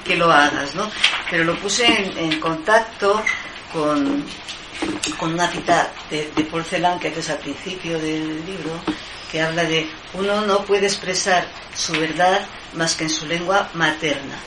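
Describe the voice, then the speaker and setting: A woman's voice: deep voice, unhurried. A woman interviewing a poet, hesitant and full of restarts as she works toward her questions. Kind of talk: literary interview. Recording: echoey room, noisy background.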